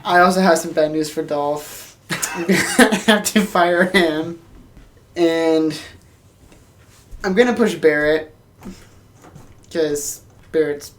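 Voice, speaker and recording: A woman's voice: sits low, takes it slowly, pauses often, slight background noise. A woman co-hosting a podcast, talking in a casual, chatty style. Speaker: podcast co-host. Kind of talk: casual chat.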